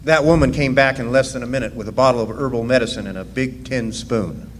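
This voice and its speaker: Echoey hall actor as male storyteller, measured. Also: mid-range voice, lightly acted. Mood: recollecting. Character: man recalling his part in a miners' deportation. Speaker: narrator. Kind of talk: scripted radio drama narration. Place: live performance in a large hall. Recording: echoey hall, background noise.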